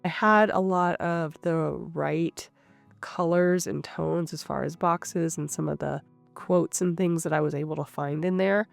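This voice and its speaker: A woman with a cold, sounding nasal and a little rough, calmly narrating her craft session as the host of her channel. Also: deep voice, unhurried. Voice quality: slightly rough. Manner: calm, explanatory, thinking aloud. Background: soft guitar music.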